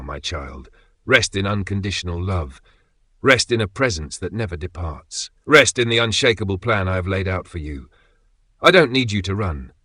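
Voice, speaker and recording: A man reading a scripted devotional message in the voice of God, gentle and tender, often sinking to a near whisper. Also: fairly low pitch, dry recording, clean studio sound.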